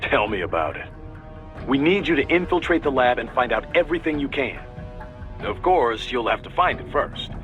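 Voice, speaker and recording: A man's voice: mid-range voice, very animated, normal speed, fairly bright tone, soft background music. A man playing a laid-back military handler in a video game, casual and dryly sarcastic. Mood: briefing, wry.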